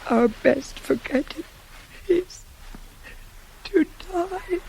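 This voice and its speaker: An actress playing an anguished, wasting queen in a Greek tragedy for radio, with a tormented, breathy delivery. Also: very high voice, expressive, darkish tone, heavily acted, rough recording. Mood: weeping anguish. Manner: slow, breathy, tormented delivery, often near whispering. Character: anguished queen, wasting away with forbidden love. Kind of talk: 1980s radio drama acting of Greek tragedy. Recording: hissy cassette of radio broadcast.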